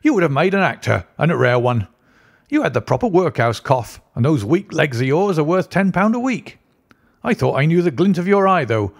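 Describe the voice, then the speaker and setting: A man doing a hearty, booming police inspector. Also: sing-song, normal speed, creaky, full character voice. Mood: delighted.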